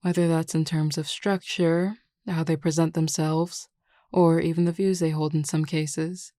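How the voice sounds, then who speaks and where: Woman acting a composed, low-voiced pack beta. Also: little pitch movement, dark tone, no echo, studio quality. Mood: composed, explanatory. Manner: measured, explanatory, composed. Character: confident, reassuring adult female werewolf pack beta. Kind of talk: scripted audio roleplay.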